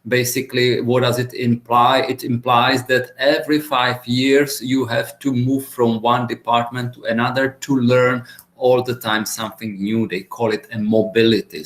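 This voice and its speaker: Czech man presenting on an echoey call. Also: medium pitch. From Czech Republic. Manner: relaxed, chatty, many filler pauses. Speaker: guest speaker. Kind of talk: online webinar presentation. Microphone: video call. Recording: echoey room on a video call.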